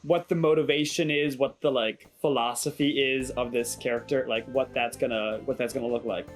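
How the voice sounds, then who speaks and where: Androgynous young person, middle pitch, jokey casual chat, repeats words, music in the back. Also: fairly even intonation, normal speed. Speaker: voice actor in a fan audio drama. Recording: background music under the talk.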